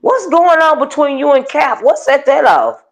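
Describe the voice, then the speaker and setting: Chatty woman hosting a live stream, very high voice, quick to react. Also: sing-song. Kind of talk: casual chat.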